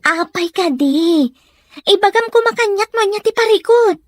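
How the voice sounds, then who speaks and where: A woman's voice: very high voice, animated, normal speed, dry recording, studio quality. A woman voicing a concerned, frank teenage schoolgirl friend in an audio drama, with an Ilocano Filipino accent. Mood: curious, concerned.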